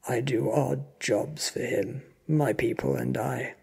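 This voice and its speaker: A frightened, hurt henchman as a narrator acts him out, stammering in halting bursts. The voice is very husky and breathy, pushed higher and louder than the narration in a dramatic audio story. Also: full character voice. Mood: nervous, pained. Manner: stuttering, halting, strained. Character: frightened, injured henchman named Slim, stammering and gasping.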